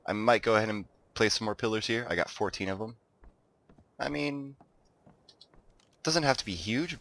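A man's voice: deep voice, slight background noise. A man casually commenting while he plays and builds in a game, sounding a little congested and stuffed-up. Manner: relaxed, chatty, talks to himself while building. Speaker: gaming video host.

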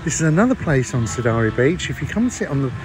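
A man's voice: medium pitch, sing-song, fast talker, background noise. An older man chatting casually as he films a travel vlog at an outdoor beach restaurant. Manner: chatty, filming as he walks and eats. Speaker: vlog host. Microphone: handheld phone camera. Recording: outdoor phone audio with background music.

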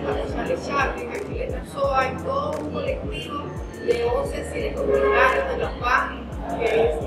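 A middle-aged woman from Venezuela, a cultural official, giving an earnest, insistent statement at an indoor press conference, with many restarts and fillers. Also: high voice. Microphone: table mic, far from mouth. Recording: echoey room heard from a distance, music underneath.

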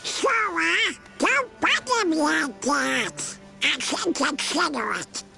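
A scolding little cartoon duck in a game. The voice is piercingly high, sharp and heavily crackling, squawking through garbled words. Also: male voice, sing-song, slow, gravelly, faint music behind, exaggerated voice. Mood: irritated, scolding. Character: irascible male cartoon duck, small, scolding.

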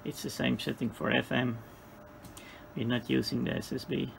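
A man with a Dutch accent and a gravelly voice gives an unscripted, halting hands-on equipment demonstration. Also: mid-range voice, steady tone. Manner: halting, hesitant phrasing with repeated words. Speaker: hobbyist demonstrator. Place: indoor electronics workbench. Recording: clearly noisy room recording.

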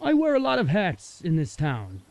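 An actor playing an affable small-town mayor and realtor in a radio play, folksy and eager to please. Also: male voice, high voice, huge pitch swings, normal speed, gravelly, a little mellow, full character voice. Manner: folksy, accommodating.

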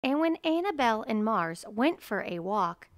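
A woman reading a children's picture book aloud in a measured, deliberate storytelling manner. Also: on the high side, very animated, no room sound, studio quality. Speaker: storybook reader.

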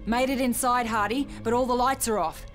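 An actress playing a tough, dry-witted young survivor in a video game, with a blunt, sardonic manner. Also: female voice, fairly high pitch, fairly even intonation, brisk, soft background music, lightly acted. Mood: brisk, dry. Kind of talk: video game cutscene acting.

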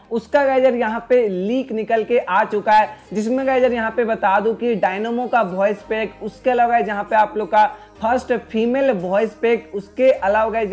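A man's voice: high-pitched, a little mellow. A man with an Indian accent doing a casual gaming news voice-over as a YouTube host, repeatedly addressing his listeners. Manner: repetitive, addresses listeners constantly as friends. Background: background music.

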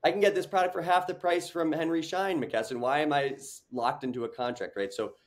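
A man's voice: a little high, steady tone, brisk. A young man, a startup founder, talking earnestly on a video call interview, with many fillers and restarts. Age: young adult. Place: home room with large window.

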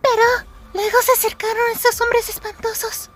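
A woman's voice: level tone, moderate pace, over music. A woman voicing a blunt, dramatic six-year-old girl in a babyish, whiny manner, with a Latin American Spanish accent, in an anime fan dub. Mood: worried. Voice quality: childlike, squeaky.

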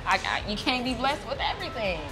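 A woman's voice: fairly high pitch, sing-song, brisk, music in the background, low-quality recording. A woman guest chatting casually, giggly and easygoing, with loose slang. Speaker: guest, gym partner. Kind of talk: casual chat.

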